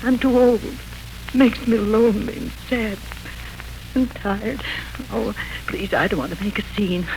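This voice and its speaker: Older mother for a vintage radio serial, woman actor: weary, sorrowful, mid-pitched, slightly noisy recording. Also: steady tone, unhurried, pauses often, lightly acted. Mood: sad, upset. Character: weary, sorrowful aging mother resisting change. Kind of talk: 1940s radio acting.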